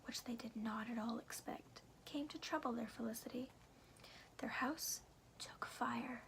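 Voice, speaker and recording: A woman reading a storybook aloud in a soft, breathy ASMR whisper, phrasing slowly and deliberately with careful pauses. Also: on the high side, poor audio.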